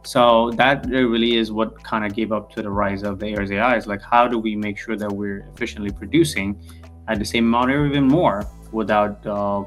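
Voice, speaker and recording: Low-voiced man rambling with fillers. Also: steady tone, moderate pace, soft background music. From Ethiopia. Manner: rambling, many fillers and restarts. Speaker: podcast guest, real estate founder. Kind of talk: casual interview answers.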